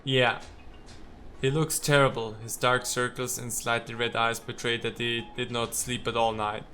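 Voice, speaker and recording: A male let's player with a bright, forceful voice at an average pitch. He reads visual novel text aloud and drops in playful, wry asides. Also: little pitch movement, normal speed, faint music behind.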